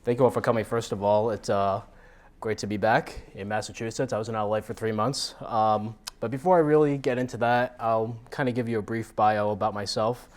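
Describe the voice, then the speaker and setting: A man's voice: fairly low pitch, fairly even intonation, brisk. An American man from New Jersey giving an informal talk as a guest speaker, telling stories with self-deprecating animation. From New Jersey, United States. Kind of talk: informal autobiographical talk to a meetup audience.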